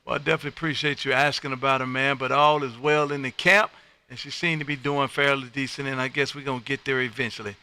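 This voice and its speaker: A man's voice: mid-range voice, fairly even intonation, quick pace, bright, forceful tone, clear, resonant tone. A man from Texas hosting an amateur radio livestream, chatting and calling out in a folksy, effusive way and repeating his phrases. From United States, Texas. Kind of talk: casual ham radio chat and call-outs.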